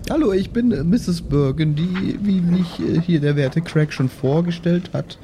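A man's voice: on the high side, unhurried, mellow, slight background noise. A man playing a polite, self-assured lady adventurer in an improvised tabletop game.

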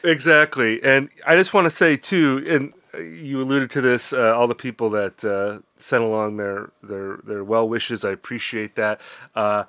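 Male podcast host, congested, rambling, bright forceful tone, dry close sound. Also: mid-range voice, steady tone, moderate pace, smooth, no echo. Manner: rambling, self-deprecating. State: congested, getting over an illness. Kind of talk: casual chat.

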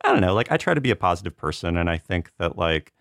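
A man's voice: low-pitched, very animated, fast talker, a bit bright, dry recording, clean studio sound. A man talking casually as a podcast panelist, hedging and rambling, with "like" peppered through his sentences. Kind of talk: casual conversational discussion.

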